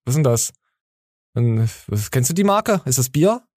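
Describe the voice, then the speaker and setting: A male podcast host with a mid-pitched voice jokes and teases his co-host. He talks in halting bursts with many fillers. The recording is dry and close. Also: sing-song, a little mellow, no room sound. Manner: joking, teasing, full of fillers and restarts. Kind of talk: casual podcast chat.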